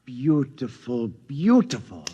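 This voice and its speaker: An actor playing a fumbling, deferential president who hesitates and repeats himself, in a 1950s radio drama. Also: male voice, medium pitch, very animated, takes it slowly, slightly rough, dull audio, heavily acted. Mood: wistful.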